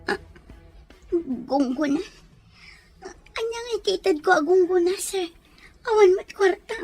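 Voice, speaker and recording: A woman's voice: extremely high pitch, expressive, measured pace, a little mellow, faint music behind, heavily acted. A woman playing a frightened, meek young captive in an audio drama, pleading deferentially with a Filipino accent. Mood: anxious. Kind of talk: Ilocano audio drama acting.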